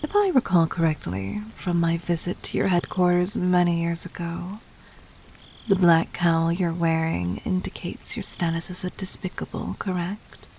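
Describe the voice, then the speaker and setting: Demon queen, acted by a woman: breathy, low-pitched, commanding and amused, with teasing formal diction for intimate roleplay audio. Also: steady tone, moderate pace, darkish tone, rough recording. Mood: knowing, commanding. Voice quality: breathy, husky.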